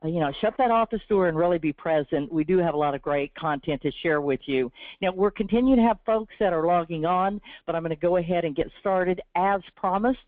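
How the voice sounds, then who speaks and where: A woman with a sore throat and a slightly raspy voice hosts a webinar. She reads from a prepared script in an earnest, encouraging tone. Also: lowish voice, a little fast, hardly any pauses, dry recording. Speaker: webinar host from a business development team. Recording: tinny, dry phone-line audio.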